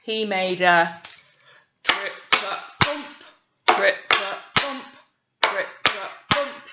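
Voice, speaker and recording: A woman's voice: mid-range voice, animated, very slow, heavy background noise. A British woman, a teacher, telling a story to young children expressively, with coaxing questions and asides, on a slightly dull recording.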